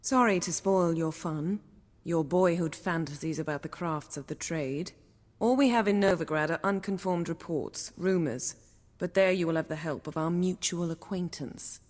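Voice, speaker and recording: Lady doing a cool proud sorceress, lowish voice, teasing, long echo, fantasy game. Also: normal speed, darkish tone, close to an everyday voice. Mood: cool, businesslike. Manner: poised, clipped, archly teasing. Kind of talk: video game voice acting. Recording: long hall-like reverb.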